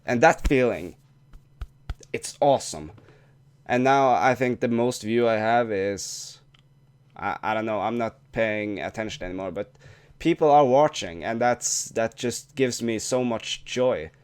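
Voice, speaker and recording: A man's voice: mid-range voice, measured pace, smooth. A young man whose first language is Norwegian, talking casually to camera in a vlog with frequent restarts and swearing. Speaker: YouTuber.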